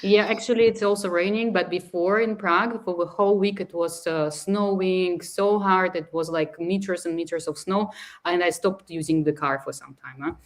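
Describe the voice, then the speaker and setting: A woman's voice: lowish voice, steady tone, moderate pace. A woman hosting an online session who chats casually, reads viewer comments aloud and laughs often. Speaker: host.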